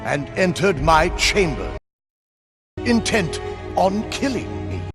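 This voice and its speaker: An actor playing an indignant aristocratic lord, theatrical and declamatory, in a game drama. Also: male voice, high voice, very animated, slow pace, over music, full character voice. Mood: indignant, accusing. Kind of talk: dramatic video game acting.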